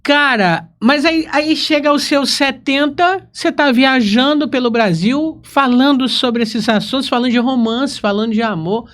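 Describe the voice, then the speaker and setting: A man's voice: very high-pitched, a little slow, few pauses, fairly bright tone. A man with a Brazilian accent hosting a casual, conversational interview. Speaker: podcast host, interviewer.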